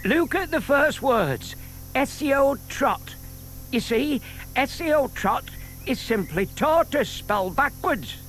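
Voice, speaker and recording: An actor playing a shy, scheming older gentleman who explains things patiently and politely in a children's audio drama. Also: extremely high pitch, lively intonation, takes it slowly, full character voice. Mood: patiently explaining. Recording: audible hiss from old cassette tape.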